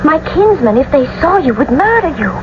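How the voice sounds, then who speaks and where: A woman's voice: high-pitched, sing-song, brisk. An actress playing a tender, anxious, lovestruck young noblewoman in a classical radio drama, theatrical and earnest. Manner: theatrical, earnest, romantic delivery. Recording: muffled, noisy old radio broadcast recording.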